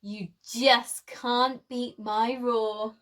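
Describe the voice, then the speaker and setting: A woman's voice: fairly high pitch, flat delivery, drawn-out words, a bit bright. A woman voicing a mischievous, boastful young male dinosaur with theatrical, teasing delivery in a children's storybook. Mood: gleeful, smug.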